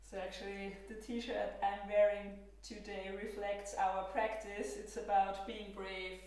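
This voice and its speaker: Slow, gentle Austrian-accented woman yoga teacher. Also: medium pitch, distant mic. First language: German. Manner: calm, gentle cueing with soft laughs. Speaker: yoga instructor. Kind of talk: guided yoga class. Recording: roomy echo, from across the room.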